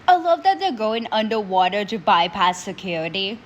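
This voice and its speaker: A young woman with a stuffy nose and sore throat, hosting a casual reaction video in an animated, opinionated way. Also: medium pitch, moderate pace, muffled recording, music in the background. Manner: animated, opinionated ranting to viewers.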